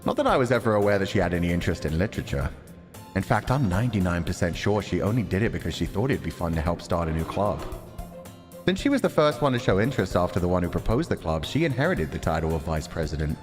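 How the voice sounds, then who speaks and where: A cynical schoolboy narrator from an anime game, read by a man, pitched low and resigned. He grumbles dryly through his narration over faint music. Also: sing-song, fast, long reverb tail. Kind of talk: visual novel narration read-aloud.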